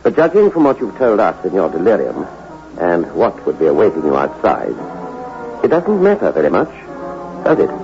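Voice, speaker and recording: An actor playing a grave, measured mission hospital man in a sombre 1960s radio drama. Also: low voice, huge pitch swings, over music. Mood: grave, calm. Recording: dull old radio recording.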